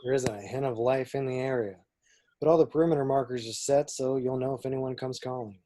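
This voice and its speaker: A man reading the part of a cocky, gruff smuggler captain in an amateur table read. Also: mid-range voice, fairly even intonation, brisk, mellow, close to an everyday voice. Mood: matter-of-fact.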